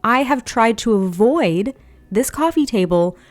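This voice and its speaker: A woman giving a chatty voiceover for a home-building video, slightly hoarse and a little raspy, laughing often between rambling asides. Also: fairly high pitch, lively intonation, a little slow, soft background music. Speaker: Sims build video creator. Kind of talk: casual voiceover commentary.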